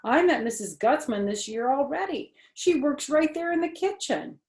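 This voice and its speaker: A woman's voice: high voice, animated, moderate pace, a little mellow, pitched above the performer's own voice. A young schoolboy from a storybook, voiced by a woman, talks in a friendly, matter-of-fact way. The delivery is plain and earnest. The sound is roomy and echoey. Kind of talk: children's book character voice. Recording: roomy indoor echo.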